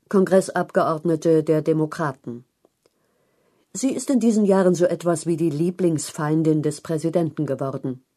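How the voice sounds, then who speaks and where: A woman narrating a written news feature in a measured, articulate read-aloud style. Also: lowish voice, darkish tone. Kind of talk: scripted narration of long-form journalism.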